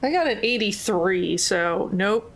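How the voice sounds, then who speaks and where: Woman, tabletop player, animated intonation, joking quips, casual chat, clearly noisy recording. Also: medium pitch, measured pace.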